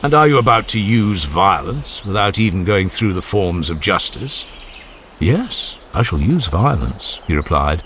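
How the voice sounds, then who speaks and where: A man narrating a dramatized classical dialogue in a formal, measured and expressive oratorical manner. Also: sits low, slight background noise. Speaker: audiobook narrator. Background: ambient sound effects.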